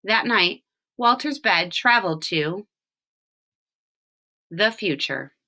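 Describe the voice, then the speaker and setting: Bright, animated woman reading a storybook. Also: medium pitch, slow pace. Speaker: storybook reader for children. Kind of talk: read-aloud storytelling with brief picture explanations.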